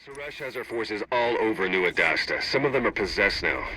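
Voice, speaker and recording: A man's voice: lowish voice, lively intonation, fairly quick, bright, forceful tone, rough recording. An actor playing a weary, guilt-ridden male field agent in a video game. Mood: grim, resolute.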